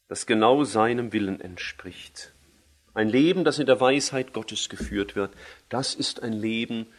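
Emphatic German preacher giving a sermon. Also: male voice. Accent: German, with a native dialect. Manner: emphatic, rises in pitch on key phrases and slows for scripture.

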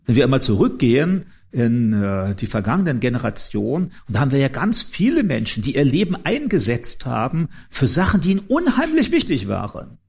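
A man's voice: medium pitch, sing-song, brisk, vocal fry, hardly any pauses, a little mellow, husky. A man preaching in an informal, conversational and explanatory way, addressing his listeners directly. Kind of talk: informal sermon.

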